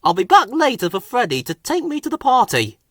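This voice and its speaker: A man voicing a bossy, quick-tempered railway controller in a children's storybook. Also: very high voice, huge pitch swings, a bit bright, dry recording, studio quality, pitched above the performer's own voice, full character voice. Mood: brisk.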